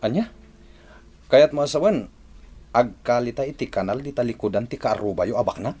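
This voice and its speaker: A man's voice: mid-range voice, animated, normal speed, mellow, slight background noise, lightly acted. An actor playing a plain-spoken, grumbling working man in an Ilocano radio drama.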